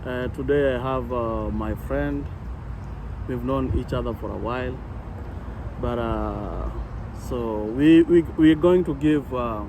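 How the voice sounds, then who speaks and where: A man from Kenya leads a relaxed interview, stopping and restarting his questions with plenty of fillers. He is recorded outside, and passing vehicles are clearly heard around his voice. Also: mid-range voice. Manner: halting, many fillers and restarts, frequent "yeah" backchannels. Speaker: host. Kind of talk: casual interview. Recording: outdoor recording with traffic noise.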